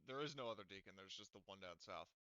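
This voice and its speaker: A man's voice: low-pitched, animated, fast, pushed and bright, clear, resonant tone, no room sound, dull audio. A man running a tabletop game over a voice call, hesitant and thinking aloud with many fillers. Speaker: game master.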